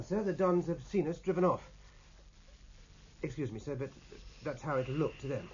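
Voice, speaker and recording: An actor playing a keen, respectful young British naval lieutenant in a 1950s radio drama, speaking in a measured, persuasive way. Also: male voice, a little high, breathy edge. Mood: deferential, careful. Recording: muffled vintage radio recording.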